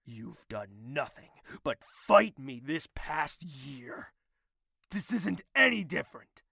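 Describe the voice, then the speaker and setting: A man's voice: a little high, sing-song, slow pace, creaky, sharp tone, breathy edge, no echo, studio quality, heavily acted. A man playing a proud, betrayed young royal in an audio drama, intense and imperious, with bitterness that can turn volatile. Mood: furious, hurt.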